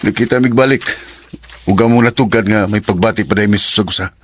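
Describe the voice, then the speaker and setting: A man playing a smooth-talking young boyfriend. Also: lowish voice, quick pace, background noise, lightly acted. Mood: cold. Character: young male boyfriend, charming then cold. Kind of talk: Cebuano radio drama acting.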